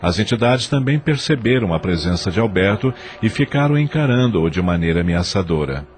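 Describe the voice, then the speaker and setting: A man's voice: lowish voice, lively intonation, brisk, a little mellow, a little hiss or hum. A man reading scripted narration for a dramatized audio novel.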